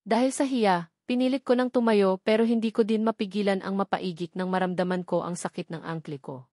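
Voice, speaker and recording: Female narrator for first-person romance fiction, scripted read, mid-range pitch, dry recording. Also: fairly even intonation, fairly quick, a little mellow, dry recording. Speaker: story narrator.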